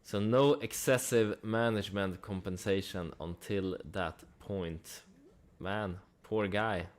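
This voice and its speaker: A man with a fairly low voice talks very slowly, as a host giving casual commentary. His delivery is conversational, leaning on rhetorical questions and dry asides. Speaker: host of a stock analysis channel.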